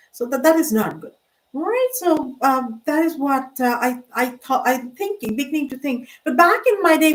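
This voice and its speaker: A woman's voice: on the high side, distant mic, poor audio. An older woman vlogger talking in a rambling, hesitant way, full of fillers and restarts. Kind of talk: rambling casual monologue.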